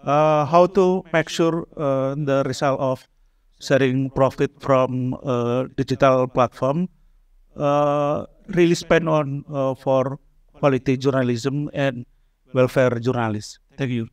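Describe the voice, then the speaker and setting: A man's voice: medium pitch, steady tone, slow, smooth. A man from Indonesia with an Indonesian accent asking a question at a conference. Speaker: audience questioner.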